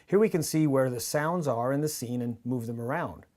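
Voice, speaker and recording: A man in his fifties with a North American accent presents a scripted behind-the-scenes explainer in a measured, clear manner. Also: mid-range voice, a little mellow. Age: 50s. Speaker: radio drama director and presenter. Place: small indoor studio office with monitors. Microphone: lavalier on shirt.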